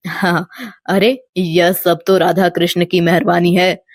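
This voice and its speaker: A woman voicing a kind, devout village father with a gentle, humble manner in a storybook tale. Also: fairly low pitch, little pitch movement, slow, dry recording, studio quality, pitched below the performer's own voice. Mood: humble, friendly.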